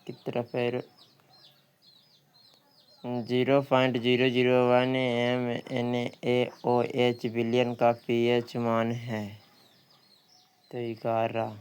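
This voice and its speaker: A man's voice: medium pitch, a little mellow, clear, resonant tone. A man working through practice questions as a coaching teacher, reading each one out and repeating questions and answers.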